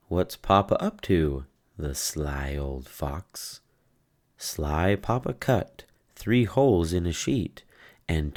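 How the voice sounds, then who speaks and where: A storybook narrator reads a children's tale aloud. The voice is extremely low and moves along slowly, line by line. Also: a little mellow.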